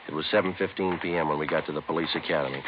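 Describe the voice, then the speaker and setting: An American actor playing a deadpan, matter-of-fact police detective in a 1950s radio drama, with clipped delivery. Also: male voice, a bass voice, racing. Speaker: lead actor and narrator. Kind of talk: 1950s radio drama, terse scripted narration. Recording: muffled, noisy old radio recording.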